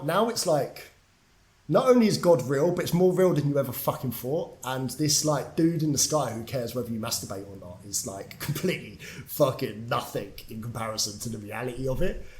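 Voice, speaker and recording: A man's voice: medium pitch, darkish tone, low-quality recording. A young man from England, a podcast guest telling his story in a candid, casual way, swearing freely and using lots of fillers. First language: English.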